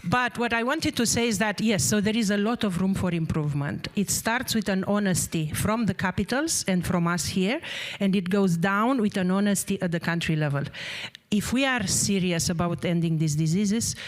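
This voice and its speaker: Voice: mid-range voice, moderate pace. A speaker on a conference panel with a mid-range voice. They deliver an emphatic closing statement, with short joking asides and points stacked in lists. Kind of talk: panel discussion.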